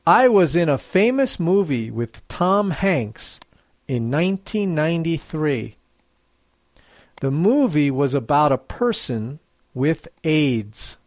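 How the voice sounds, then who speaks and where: A teacher running a guessing quiz for learners, reading each clue slowly and clearly, then repeating it. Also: mid-range voice, big pitch swings, no echo. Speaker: English teacher. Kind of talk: slow quiz dictation for learners.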